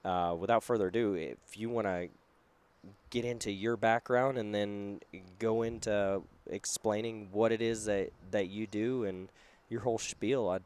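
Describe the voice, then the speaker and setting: A man's voice: on the low side, steady tone, unhurried, darkish tone, smooth. A man hosting a podcast interview at a trade show, chatting loosely with plenty of fillers. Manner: loose, rambling with many fillers. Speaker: podcast host. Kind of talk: casual interview.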